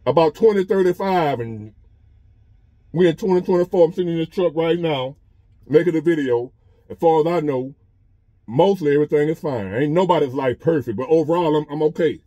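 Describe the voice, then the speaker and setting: A man's voice: fairly high pitch, a little fast, warm tone, no room sound, muffled recording. A man, talking earnestly and repetitively to his phone camera from a parked truck, like a casual motivational talk. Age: 50s. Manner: earnest, repetitive, conversational preaching. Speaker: vlogger.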